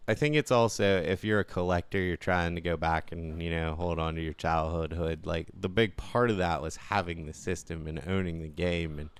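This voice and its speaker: A man's voice: low voice, steady tone, normal speed, hardly any pauses, clean tone. A man speaking casually and conversationally as a podcast co-host. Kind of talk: casual gaming podcast chat.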